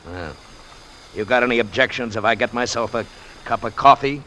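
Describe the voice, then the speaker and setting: An actor playing a gruff, shady schooner owner in an old-time radio drama, curt and challenging. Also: male voice, mid-range voice, fairly quick, bright, forceful tone, natural voice. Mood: surly, challenging. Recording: noisy old radio recording.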